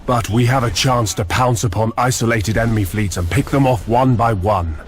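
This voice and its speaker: An actor playing a loyal, determined admiral in a video game cutscene, speaking in formal, earnest military fashion. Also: male voice, mid-range voice, normal speed, a little hiss or hum, close to an everyday voice. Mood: eager, resolute. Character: loyal, determined male admiral serving a space empire. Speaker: subordinate fleet officer. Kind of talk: scripted dramatic game cutscene acting. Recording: music behind the voice.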